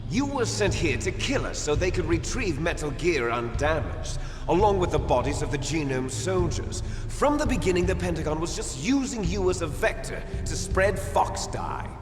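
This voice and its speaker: Game villain: bitter twin, grandiose and mocking, big swings in pitch, echoing hall with music behind. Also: male voice, sing-song, normal speed, few pauses. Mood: smug, mocking. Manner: theatrical, mocking, grandiose declarations. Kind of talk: dramatic video game voice acting. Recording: echoey reverberant hall under game music.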